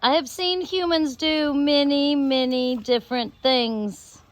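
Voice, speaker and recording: A woman with an American accent and a high-pitched voice shares personal stories. She speaks very slowly, in halting phrases, and leaves long pauses as she rambles along. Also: fairly even intonation, no echo. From United States. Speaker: storyteller host.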